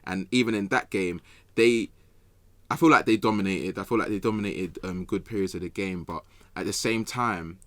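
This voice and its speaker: Male sports podcast pundit, low register, dry sound, colloquial and slangy. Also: normal speed, fairly bright tone, no room sound. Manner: colloquial, slangy, repeats phrases for emphasis. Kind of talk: casual sports chat.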